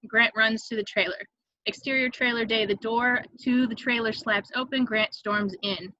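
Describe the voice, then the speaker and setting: A woman's voice: on the high side, steady tone, moderate pace, bright, forceful tone, dry recording, lo-fi sound. A woman reading stage directions as scripted narration for a table read.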